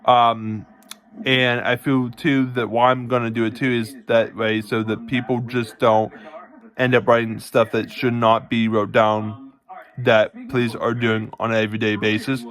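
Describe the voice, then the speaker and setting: A man talking casually to camera in a halting, rambling way, often restating himself as he explains things. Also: medium pitch, flat delivery, a bit bright. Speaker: self-described police department chief recording a vlog. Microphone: phone.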